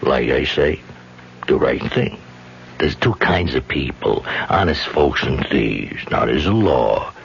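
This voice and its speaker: An actor playing a blunt, menacing male gangster in a 1970s radio drama, talking in a slow, threatening street manner. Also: a bass voice, lively intonation, creaky, a little hiss or hum, exaggerated voice. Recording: dull vintage radio broadcast.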